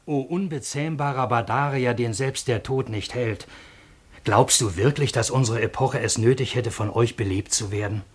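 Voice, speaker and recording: A man's voice: mid-range voice, moderate pace, husky, close to an everyday voice. An actor in a German radio play playing an eloquent, indignant man, speaking in formal, impassioned rhetoric. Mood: indignant pleading. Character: eloquent, indignant male bar guest pleading with a warrior.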